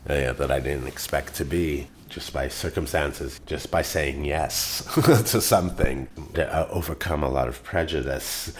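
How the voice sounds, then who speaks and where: A man who is a musician, telling stories about his band in a rambling, animated way with many fillers. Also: a bass voice, measured pace. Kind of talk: interview storytelling.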